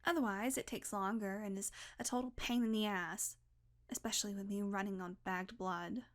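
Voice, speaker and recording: A woman's voice: mid-range voice, steady tone, normal speed, natural voice. A woman playing a snarky, teasing, grudgingly caring vampire in an intimate audio roleplay, with casual, sardonic banter. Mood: reassuring, coaxing.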